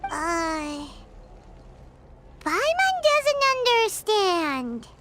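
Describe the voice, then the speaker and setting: A tiny floating fairy companion from a video game, childlike, squeaky and excitable. The voice is extremely high and swings wildly in pitch, spoken at an unhurried pace over prominent music. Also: female voice, exaggerated voice. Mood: uneasy. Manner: refers to self in third person, exclamatory.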